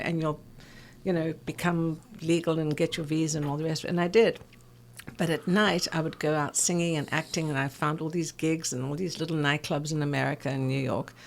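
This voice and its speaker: A woman's voice: low-pitched, gravelly, a bit bright. A woman in her sixties with an English South African accent, telling personal stories conversationally as an interview guest in a theatrical way. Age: 60s. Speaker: interview guest, fragrance expert.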